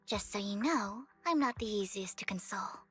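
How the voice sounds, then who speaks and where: Bright-voiced, earnest young game heroine. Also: female voice, medium pitch, lively intonation, moderate pace, husky, faint music behind. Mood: composed, purposeful. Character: earnest young woman, troubled past, formal and devoted. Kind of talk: video game voice acting.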